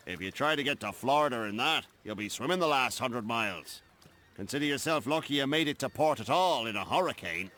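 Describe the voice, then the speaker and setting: An actor playing a blunt, no-nonsense port shipwright in a game cutscene. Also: male voice, mid-range voice, lively intonation, brisk, sharp tone. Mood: blunt warning. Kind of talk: video game cutscene acting.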